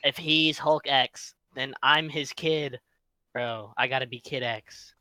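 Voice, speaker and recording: Bright-voiced fifteen-year-old boy. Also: mid-range voice, steady tone, slow, dry recording. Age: teens. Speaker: interview guest. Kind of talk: casual chat.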